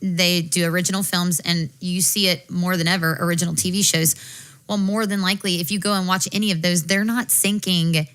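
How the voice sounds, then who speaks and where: Low-voiced brisk American woman. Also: steady tone, fairly bright tone, rough recording. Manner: brisk, frank, swears casually. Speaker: podcast co-host. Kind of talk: casual panel chat.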